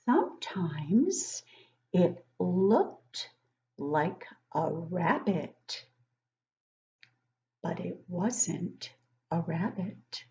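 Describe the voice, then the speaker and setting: An older woman reads a picture book aloud to young children like a teacher, expressively, pausing to ask them questions. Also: on the low side, very animated, darkish tone, highs cut off. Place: living room at home, indoors.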